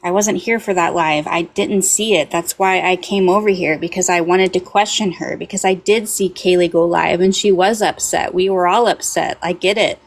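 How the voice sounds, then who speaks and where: A woman's voice: fairly low pitch, fairly even intonation, normal speed, hardly any pauses. A woman, a mother, speaking earnestly on a livestream as a guest, often hesitating and repeating words. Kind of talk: casual livestream talk.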